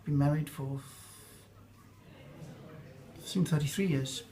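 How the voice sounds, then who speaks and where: A man's voice: a little high, slow, dark tone, slight background noise. An older man with an English accent, answering interview questions in a reflective, rambling way with fillers and restarts. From England.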